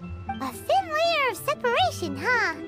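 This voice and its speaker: Squeaky tiny fairy companion. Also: female voice, huge pitch swings, unhurried, loud music behind the voice, exaggerated voice. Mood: curious. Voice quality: squeaky, childlike. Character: tiny childlike female floating fairy companion, curious. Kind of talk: video game voice acting.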